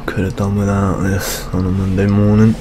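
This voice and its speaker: A young British man playing a weary, jaded older police detective in a soft-spoken ASMR roleplay, muttering with gloomy gallows humour. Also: lowish voice, completely flat delivery, normal speed, noisy background, natural voice. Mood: weary, grim. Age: young adult.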